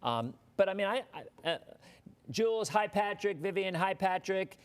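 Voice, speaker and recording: A man's voice: high voice, lively intonation, moderate pace, clean tone. A man hosting a live weather show, chatting loosely with a guest and greeting viewers by name. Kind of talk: casual live TV interview chat.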